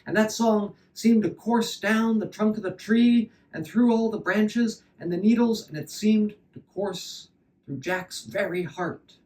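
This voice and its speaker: A man telling a fairy tale aloud as a dramatic storyteller, deliberate, repeating phrases for effect. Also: sits high, darkish tone, distant mic.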